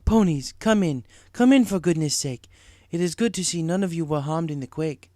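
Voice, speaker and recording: A man voicing a wise female zebra mystic who speaks gravely in formal, inverted phrases. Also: a little high, normal speed, warm tone, no room sound, clean studio sound, pitched above the performer's own voice, close to an everyday voice. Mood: welcoming.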